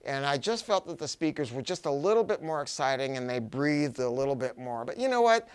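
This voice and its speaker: An American man giving an enthusiastic, unscripted product pitch, chatty and emphatic, often repeating words for stress. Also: expressive, a little fast, smooth. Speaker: audio shop owner presenting a product review.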